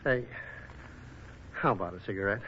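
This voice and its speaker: A man's voice: lowish voice, moderate pace, fairly bright tone, slight background noise, lightly acted. An American actor playing a bashful, lovestruck young man in a 1940s radio drama, stammering and boyishly eager, on a muffled old recording. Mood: flustered, probing.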